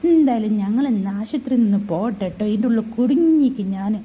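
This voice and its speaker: A woman's voice: on the high side, animated, fast talker, mellow, breathy edge, highs cut off. A woman voicing a chatty, cheeky newborn baby in a family video voice-over, talking in a sing-song, cooing way with teasing asides.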